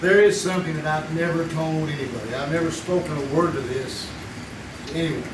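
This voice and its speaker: An actor playing a man who confides a long-kept secret in a hushed, conspiratorial way in a live radio play. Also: fairly high pitch, fairly quick, a crowd in the background, close to an everyday voice. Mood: secretive. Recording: echoey stage recording from far off, with audience noise.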